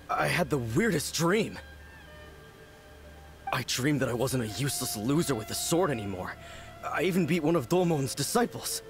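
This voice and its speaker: A man's voice: mid-range voice, lightly acted. A man voicing a timid young swordsman in a video game, shaken and self-deprecating after being possessed.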